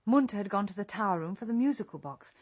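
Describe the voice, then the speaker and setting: A woman's voice: mid-range voice, animated, fast, lightly acted. An actress playing a young English woman detective in a radio drama, earnest and intent as she questions and accuses. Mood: cool, accusing. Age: young adult. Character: young woman private detective, earnest, probing, morally shocked.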